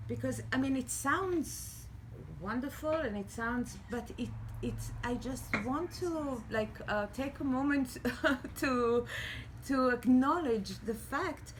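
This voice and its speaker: Slow, halting woman with a raised pitch. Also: background noise. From Iran and Israel. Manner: slow, halting, many stutters and restarts. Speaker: panel speaker. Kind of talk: public talk at an event. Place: small restaurant venue.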